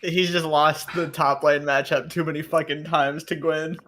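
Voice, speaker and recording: A man's voice: fairly high pitch, level tone, moderate pace, fairly bright tone. A man playing a tabletop game, bantering casually in a teasing, sweary way.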